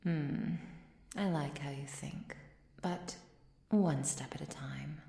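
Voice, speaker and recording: Woman playing ancient vampire countess, ASMR roleplay, soft whispery delivery, menacing elegance, dark mellow tone, very dry close mic. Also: sits low, echoey room, heavily acted. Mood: grave, thoughtful. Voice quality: soft, breathy, often dropping to a whisper. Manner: measured, formal, archaic diction.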